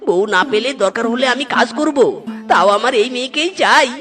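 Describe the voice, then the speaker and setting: A woman's voice: a little high, expressive, brisk, a bit bright, soft background music. A woman voicing an impatient, marriage-crazed young male fox in a cartoon, whining and pleading with frequent exclamations. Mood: eager. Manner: whiny, pleading, catchphrase exclamations. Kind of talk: cartoon voice acting.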